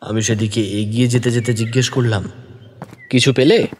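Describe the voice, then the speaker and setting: A man narrating a detective story in the first person, expressive and deliberate, also speaking the detective's lines. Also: mid-range voice, in a large hall. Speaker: narrator who also voices the young detective.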